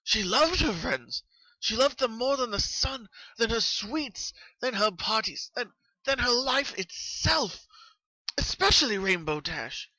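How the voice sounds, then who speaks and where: A man's voice: very high voice, very animated, unhurried, pushed and bright, dry recording, voice pushed up, exaggerated voice, low-quality recording. A man voicing a distraught, guilt-ridden young female cartoon pony, stammering and pleading. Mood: fond, anguished.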